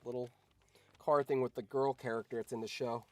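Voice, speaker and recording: A man's voice: mid-range voice, fairly even intonation, a little fast. Casual man chatting on a livestream. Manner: informal, sweary. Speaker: streamer.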